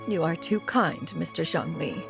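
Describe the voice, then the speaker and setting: A woman's voice: low voice, animated, takes it slowly, gravelly, music in the background, heavily acted. A woman playing a proud, dignified mentor in an anime game, speaking in a formal, stately way. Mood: flattering, pleased. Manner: formal, stately, archaic phrasing. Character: dignified, proud immortal woman mentor, haughty yet caring.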